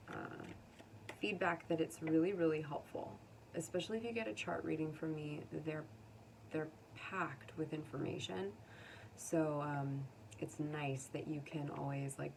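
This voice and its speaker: An American woman giving a casual, unscripted tarot reading to viewers, conversational and musing, pausing to think aloud. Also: sits low, steady tone, gravelly. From United States. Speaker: tarot reader. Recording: distant mic with faint background noise.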